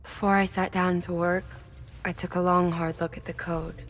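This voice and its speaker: An actress playing a grieving woman engineer in a dramatic game cutscene, speaking in a measured, hushed, halting way. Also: fairly low pitch, level tone, a little hiss or hum, lightly acted. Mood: sober, guarded. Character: grieving female engineer reunited with her twin sister.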